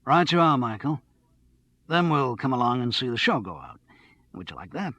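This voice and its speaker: A man voicing an affable, assured male radio presenter in an audiobook, with clear professional charm. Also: mid-range voice, big pitch swings, normal speed, sharp tone, dry recording, highs cut off.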